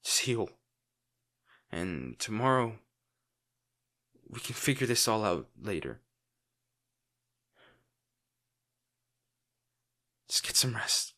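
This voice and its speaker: Man acting brooding, low-voiced lovesick villain. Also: very animated, sharp tone, full character voice. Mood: soft, resigned. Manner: brooding, earnest, halting dramatic pauses. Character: lovesick male villain, brooding, protective, torn over wounded hero. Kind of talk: intimate dramatic audio roleplay monologue.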